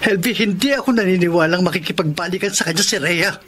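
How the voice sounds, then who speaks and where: A heartbroken pleading lover. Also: male voice, high voice, quick pace, fairly bright tone, a little hiss or hum. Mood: aggrieved. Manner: emotional, pleading, rises to desperate begging. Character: heartbroken young man Carlos, pleading lover. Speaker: radio drama actor and letter narrator. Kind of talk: Tagalog radio drama acting.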